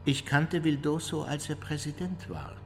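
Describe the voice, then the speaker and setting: An actor playing an older Nazi war criminal under interrogation in a radio drama, giving terse, evasive, defiant denials. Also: male voice, on the high side, big pitch swings, normal speed, faint music behind. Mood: evasive, guarded. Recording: reverberant interrogation room.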